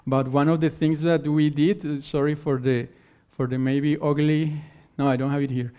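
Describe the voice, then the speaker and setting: Man speaking non-native English, halting. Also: on the high side, level tone, clean tone. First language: not English. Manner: explains in halting phrases, often restarting words. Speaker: conference speaker. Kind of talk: technical talk and Q&A answers. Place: conference hall stage. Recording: dull lo-fi conference recording.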